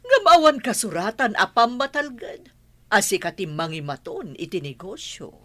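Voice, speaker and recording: A woman's voice: medium pitch, very animated, normal speed, fairly bright tone, dry recording. A woman playing a stern, exasperated mother of a grown son, scolding emphatically in an Ilocano radio drama.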